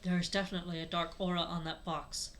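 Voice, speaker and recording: A woman's voice: fairly low pitch, level tone, quick pace, a bit bright, a little hiss or hum, voice pushed down. A woman voicing a serious, wary male creature companion from a fan story, in a grave, uneasy manner.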